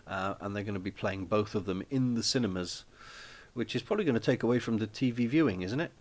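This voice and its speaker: A man hosting a live stream from his small flat, chatting casually and rambling with lots of ums and restarts. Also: fairly low pitch, quick pace. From Wales.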